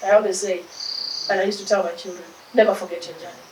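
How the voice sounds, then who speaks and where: A Kenyan woman in her fifties speaking in a casual interview, animated and laughing often. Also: mid-range voice, fast talker. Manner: animated, mixes in Swahili phrases, laughs often. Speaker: interview guest. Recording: distant mic, echoey room, loud insect noise.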